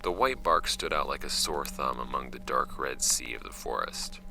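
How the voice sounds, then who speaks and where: An actor playing a wry, offhand young man narrating his homemade podcast about his late uncle's files in an audio drama, with a gravelly voice. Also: animated, over music, exaggerated voice. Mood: measured, reflective. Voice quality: very deep, gravelly. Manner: wry, offhand, halting when ad-libbing, measured when reading.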